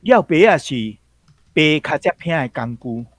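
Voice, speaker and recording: A man's voice: fairly high pitch, lively intonation, a little slow, dry recording. A man with a Taiwanese accent giving an explanatory talk as a guest commentator, going step by step. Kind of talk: explanatory talk on words and history.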